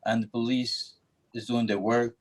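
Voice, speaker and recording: Middle aged guy, ecuadorian spanish accent, flat even tone, giving informal comments on a public meeting call. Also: medium pitch, normal speed. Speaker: public speaker at a community meeting.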